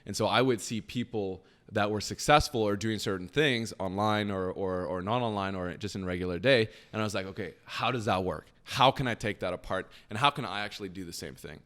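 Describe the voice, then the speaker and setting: A young man chatting casually as a podcast guest, rambling with lots of fillers and trailing off quietly. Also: lowish voice, a little fast, hardly any pauses. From Canada. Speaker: podcast guest, business founder. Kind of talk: casual conversation.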